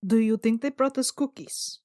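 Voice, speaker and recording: Higher-voiced woman playing tabletop game. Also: moderate pace, warm tone, no echo, studio quality. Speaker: player in a tabletop roleplaying podcast. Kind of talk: casual description of character's actions.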